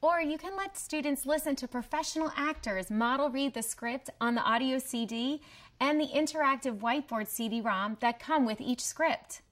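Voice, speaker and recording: A woman presenting a promotional training video in a scripted, clearly structured explanatory way. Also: sits high, normal speed, fairly bright tone. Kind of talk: scripted instructional narration.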